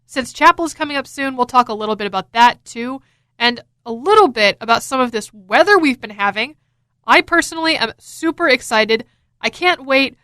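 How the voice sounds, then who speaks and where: A woman's voice: fairly high pitch, sharp tone, no echo. A young American woman talking as a radio DJ in an upbeat, casual, chatty and enthusiastic way, close on a studio microphone. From Florida, United States.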